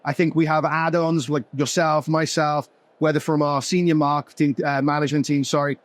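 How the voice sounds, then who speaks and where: A man leading a business panel, asking long questions in a rapid flow. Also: fairly high pitch, level tone, dry recording. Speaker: company executive leading the panel. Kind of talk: panel conversation.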